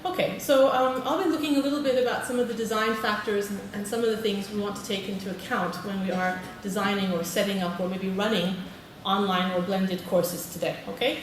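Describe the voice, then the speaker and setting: A woman's voice: mid-range voice, fairly even intonation, fairly quick, hardly any pauses. British woman presenting in an echoey hall. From Britain. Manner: friendly, polls the audience, frequent "okay". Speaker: conference presenter, teacher trainer. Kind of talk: conference presentation. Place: large conference hall. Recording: echoey hall, distant mic, noisy.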